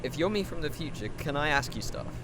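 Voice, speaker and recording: Man acting a naive everyman, audio drama, swooping intonation, halting questions, incredulous, slight background rumble. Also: animated, close to an everyday voice. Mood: curious. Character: naive, astonished present-day man meeting his future self.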